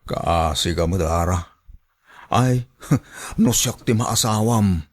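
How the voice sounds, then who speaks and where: A man's voice: low-pitched, slow pace. An actor with an Ilocano accent playing a familiar, flirtatious and sympathetic village man in a radio drama.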